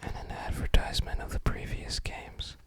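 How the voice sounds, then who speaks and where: A person whispering in a casual ASMR style, musing aloud softly in a breathy, unvoiced voice. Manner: soft, unhurried, musing aloud. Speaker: ASMR video creator. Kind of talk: whispered ASMR, casual unboxing and game commentary.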